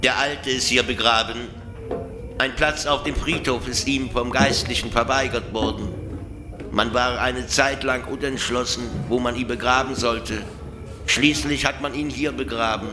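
A man's voice: mid-range voice, fairly even intonation, measured pace, music in the background, close to an everyday voice. A man acting a talkative soldier in a radio play, gossiping in a mocking, conspiratorial way. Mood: scornful gossip. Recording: clearly noisy background ambience.

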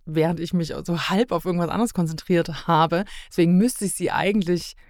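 A woman's voice: lowish voice, fairly even intonation, brisk, darkish tone, dry recording, clean studio sound. A woman chatting casually as a podcast guest, telling things in a conversational, anecdotal way.

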